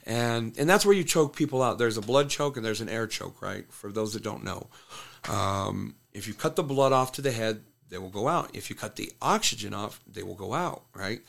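A man's voice: on the low side, animated, normal speed, gravelly. A man who coaches fighters, telling a fight story bluntly in casual conversation, swearing freely. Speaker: MMA coach and fighter, podcast guest.

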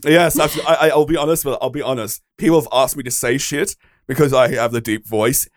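A man with a deep voice co-hosting a podcast, rambling and swearing, tripping over words in casual chat. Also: brisk, no room sound, clean studio sound.

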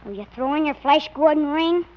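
A woman's voice: sits high, animated, a little fast. A performer voicing a surly, demanding, blunt little boy who gripes, in 1950s radio comedy style. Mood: stubborn, bargaining. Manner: blunt, griping. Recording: muffled, very noisy old radio recording.

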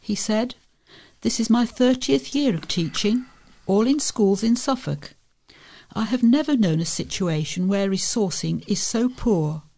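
A woman with a creaky voice reading local newspaper articles aloud as a volunteer reader. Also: mid-range voice, animated, a little slow, mellow.

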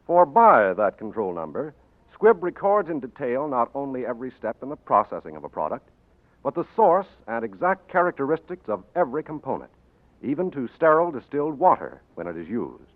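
A male announcer reading a sponsor message and sign-off in a measured, polished cadence. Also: medium pitch, very animated. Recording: tinny 1940s radio broadcast, faint music.

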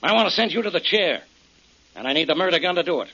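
Husky, combative radio cop. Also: male voice, high voice, lively intonation, fast talker, bright, forceful tone, exaggerated voice. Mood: accusing. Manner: blunt, combative. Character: gruff, hard-nosed male police investigator. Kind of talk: 1940s radio crime drama acting. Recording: muffled old-time radio recording.

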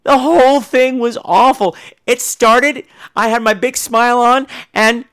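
A man's voice: very high-pitched, unhurried, smooth. A man hosting a podcast in a casual monologue, telling stories in a theatrical, catty and blunt way. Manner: theatrical, catty, animated storytelling; profane, blunt.

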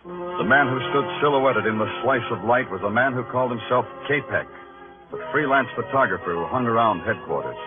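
An actor playing a world-weary, hard-boiled New York police detective in terse, streetwise 1950s radio noir style. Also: male voice, medium pitch, expressive, brisk, phone-quality sound, lightly acted. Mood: weary narration. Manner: terse, clipped, streetwise delivery. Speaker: lead actor and narrator. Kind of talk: 1950s radio crime drama acting, noir narration. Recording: band-limited old radio recording with music.

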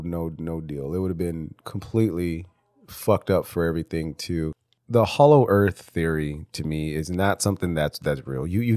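A congested man with a stuffy nose, rambling through his own podcast episode. His voice sits a little low, and he stumbles over restarts and swears casually as he goes. The sound is dry and close, with no room around it. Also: darkish tone, dry recording. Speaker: podcast host. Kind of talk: casual solo podcast monologue.

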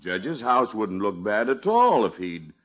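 An actor playing an amiable, easygoing small-town police chief in a 1950s radio sitcom, husky and folksy. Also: male voice, lowish voice, big pitch swings, unhurried, a crowd in the background. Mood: reluctant, agreeable. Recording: muffled 1950s radio recording.

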